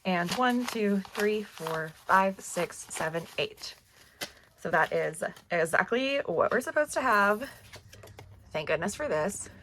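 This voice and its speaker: A woman's voice: mid-range voice, lively intonation, takes it slowly, a bit bright, slight background noise. A woman in her thirties who is losing her voice, chatting upbeat to camera as a budgeting vlogger. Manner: chatty, upbeat, laughs often. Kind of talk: casual chat to camera.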